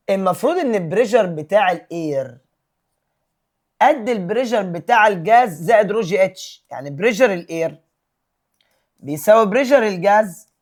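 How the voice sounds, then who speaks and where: A man with an Egyptian Arabic accent teaches physics, reading questions out slowly and solving them step by step. His voice sits high for a man, and he switches between Arabic and English terms as he works. Now and then he clears his throat. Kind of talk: solving exam problems step by step.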